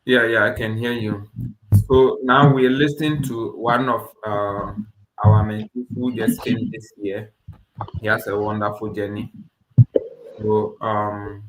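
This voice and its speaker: A man hosting an online webinar, restarting phrases as he puts questions to his guest. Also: on the low side. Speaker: webinar host, mentor. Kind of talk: informal interviewing and hosting. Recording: echoey room, noisy online call, clicks.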